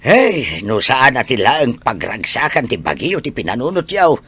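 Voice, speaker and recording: A man's voice: mid-range voice, huge pitch swings, normal speed, bright, forceful tone, slightly rough, dry recording, full character voice. An Ilocano man playing a stern, reproachful older father in an audio drama.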